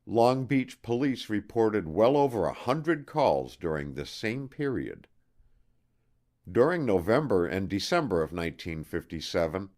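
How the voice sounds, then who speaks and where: A man reading non-fiction audiobook narration in a measured, deliberate way with emphatic stresses. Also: fairly low pitch, darkish tone.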